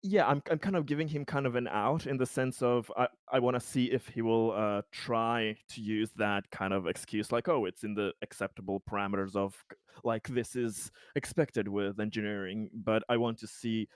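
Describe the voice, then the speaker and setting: Hesitant man with a lowish voice. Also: fairly even intonation, normal speed, no room sound, clean studio sound. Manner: hesitant, restarting, often breaking into nervous chuckles. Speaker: player in a tabletop roleplaying game. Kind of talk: casual game-table chat.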